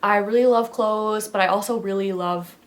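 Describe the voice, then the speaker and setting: A woman's voice: medium pitch, steady tone, normal speed. A woman in her thirties from the Midwest, a fashion vlogger giving rambly, casual advice with lots of fillers, stuffy from a head cold. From American Midwest. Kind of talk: casual chatty vlog.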